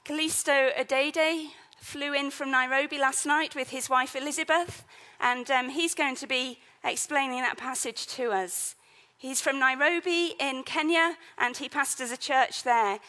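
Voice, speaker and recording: A woman's voice: high-pitched, unhurried, pushed and bright. A woman leading a church service, reading scripture, introducing the guest preacher, and praying in an earnest manner.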